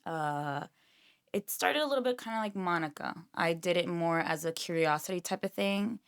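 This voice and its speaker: Woman, 30s, guest, low voice, smooth tone, chatty, self-deprecating. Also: moderate pace. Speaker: guest esthetician. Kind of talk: casual chat.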